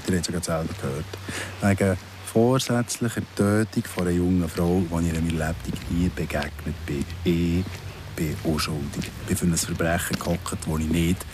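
Actor as a low-voiced bitter Swiss German ex-convict. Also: male voice, noisy background, natural voice. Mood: bitter, insistent. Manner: sardonic, deliberate, brooding. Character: bitter man in his thirties, freshly paroled convict. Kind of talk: first-person radio drama monologue.

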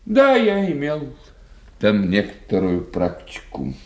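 An actor playing a witty, sceptical society doctor in a radio play, gossiping in a wry, theatrical way. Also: male voice, mid-range voice, wildly swooping pitch, slow, a little mellow, exaggerated voice. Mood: gossipy, amused. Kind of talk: radio drama acting.

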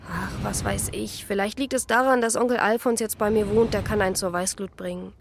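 An actress playing a practical, caring but exasperated farm niece in a naturalistic radio drama, dry and matter-of-fact. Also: female voice, medium pitch, steady tone, fairly quick, gravelly, slight background noise, lightly acted. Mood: weary, irritated.

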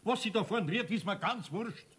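Exasperated Bavarian craftsman: middle-aged, fairly high husky voice, grumbling and scolding, seventies radio play. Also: moderate pace, a bit bright, heavily acted. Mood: irritated. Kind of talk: 1970s children's radio play acting.

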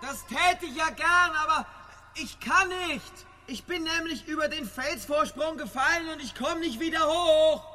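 Ein Schauspieler spielt in einem Hörspiel einen naiven, eigensinnigen jungen Prinzen, der flehend nach oben ruft. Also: male voice, very high-pitched, measured pace, sharp tone, heavily acted. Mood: pleading. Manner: pleading, calling up from below. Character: cheerful naive young prince, stubborn when cornered. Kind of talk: radio play acting. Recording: long hall reverb, faint music.

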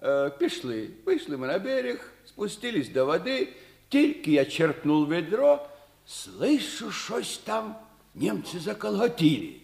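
A cheerful young Ukrainian soldier in an old Soviet radio play. He talks in a folksy, bantering way with a highish, very animated voice. The recording is roomy. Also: slow pace, creaky, exaggerated voice. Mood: earnest storytelling. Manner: folksy, jocular storytelling. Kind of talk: 1950s Soviet radio theatre acting.

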